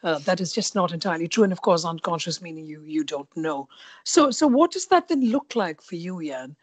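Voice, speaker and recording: A woman's voice: on the low side, animated, a little fast. A woman from India hosting an interview podcast, asking curious follow-up questions and often repeating words as she goes. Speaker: podcast host.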